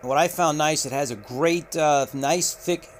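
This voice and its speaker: A man walking through a radio unboxing, talking unhurriedly with frequent filler pauses, recorded indoors at a table on the camera's mic. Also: a little high, slight background noise. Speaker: radio reviewer. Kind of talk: unboxing walkthrough.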